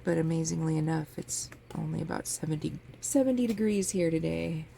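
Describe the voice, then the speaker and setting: A woman with a low, heavily gravelly voice talks through a miniature craft project in a relaxed, chatty way. She is a bit sniffly from a cold, and a fan hums faintly behind her. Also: a little fast. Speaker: crafting channel host. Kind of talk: casual craft tutorial. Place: home craft table with a fan running. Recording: faint fan noise in background.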